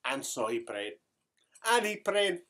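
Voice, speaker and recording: A man's voice: medium pitch, very animated, slow, bright, forceful tone, full character voice. A man voicing a rambling old storyteller with a put-on Irish accent and a broad dialect, tacking "he has" and "he did" onto his lines. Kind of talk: improvised comic monologue.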